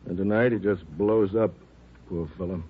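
A world-weary but sympathetic male prison warden in an old radio play. His voice is low and a little husky, with short, plain phrases. It comes through thin and narrow like a telephone. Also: steady tone, normal speed. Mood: regretful. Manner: plain-spoken, short matter-of-fact phrases. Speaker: supporting actor. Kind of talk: 1950s radio drama acting.

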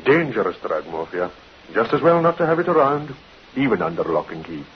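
An actor playing a courteous, sympathetic doctor in a vintage radio drama, formal and kindly, on a muffled, hissy recording. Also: male voice, on the low side, very animated, a little fast. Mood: businesslike. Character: courteous, sympathetic, businesslike male attending doctor. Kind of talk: 1950s radio acting.

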